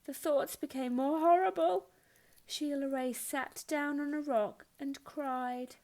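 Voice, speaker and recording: A woman narrating a children's picture book in an expressive read-aloud style. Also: high voice, slow. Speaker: storybook narrator. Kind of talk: expressive children's story reading.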